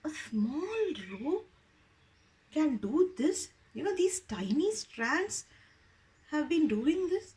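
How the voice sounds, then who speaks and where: Woman as an earnest, high-voiced young boy. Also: very animated, slow pace, darkish tone, full character voice. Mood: amazed. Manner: earnest, puzzled, reasoning aloud. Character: earnest, wondering young boy thinking hard. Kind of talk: storybook character voice.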